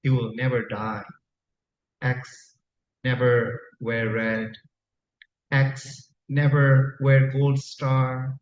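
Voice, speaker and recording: Man, poet from Nepal, Tamang mother tongue, slow deliberate delivery, live poetry reading, reverberant dull recording. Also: mid-range voice, monotone. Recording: dull, echoey room recording, faint noise.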